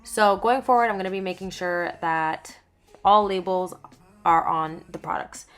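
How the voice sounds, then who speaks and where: Young woman, lowish voice, casual candid vlog narration, faint music under. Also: unhurried. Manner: chatty, candid, lively swings in pitch and loudness. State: just over laryngitis. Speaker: small skincare business owner.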